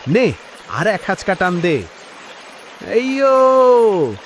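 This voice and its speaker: A man voicing a village fisherman in an animated moral story. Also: high voice, drawn-out words, slight background noise, exaggerated voice. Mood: cheerful, excited. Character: village fisherman, cheerful then weakening and gasping.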